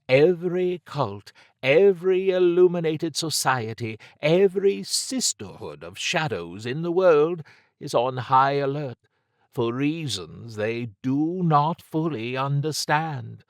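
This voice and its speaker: Man acting female thief, worldly, elegant, cryptic, formal measured phrasing, raised pitch, audiobook character. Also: no room sound, studio quality. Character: Alessandra, elegant woman thief, worldly, lazily amused, cryptic.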